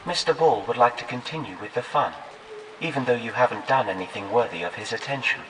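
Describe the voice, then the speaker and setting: A man's voice: mid-range voice, fast talker, fairly bright tone, long reverb tail, recorded from a distance, a little hiss or hum, close to an everyday voice, poor audio. A man voicing a formal, coolly sinister alien assassin in an audiobook, politely threatening. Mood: polite menace. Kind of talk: audiobook character acting.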